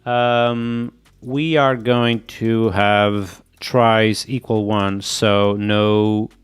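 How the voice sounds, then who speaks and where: A man with a Portuguese accent, a native Portuguese speaker, streaming live as he codes. He thinks aloud, muttering to himself and repeating quick agreements as he reads, and he sounds sick. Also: on the low side, steady tone, smooth. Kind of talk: thinking aloud while coding, chatting with viewers.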